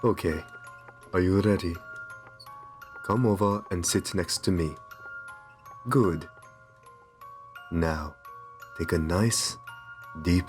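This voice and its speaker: A man playing a courteous, possessive vampire master in an ASMR roleplay, soft-spoken, soothing and reassuring with genteel endearments. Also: low-pitched, slow, pauses often. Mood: calm, attentive. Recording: music under the voice. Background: background music.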